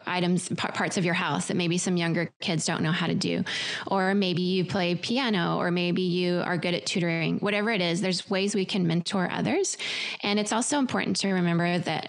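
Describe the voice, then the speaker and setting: A woman in her forties, a former pastor, giving earnest tips at a home office desk mic, with soft laughs and fillers. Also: on the low side. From Venezuela. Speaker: guest speaker, former pastor. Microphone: large desk mic close in front, earbuds.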